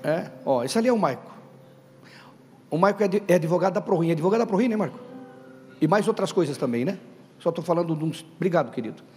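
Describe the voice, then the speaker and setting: A man preaching a Pentecostal sermon to his congregation. He talks emphatically, calls out questions to the room and builds to loud stressed bursts. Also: high-pitched, lively intonation, fast, pauses often. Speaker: pastor. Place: church hall. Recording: reverberant hall with faint background music.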